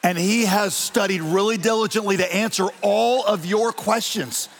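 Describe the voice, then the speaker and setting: A man preaching with an animated, folksy delivery and touches of humor. His voice sits a little high for a man. It rings out through the long reverb of a large church auditorium. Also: normal speed, slight background noise. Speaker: pastor. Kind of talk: sermon.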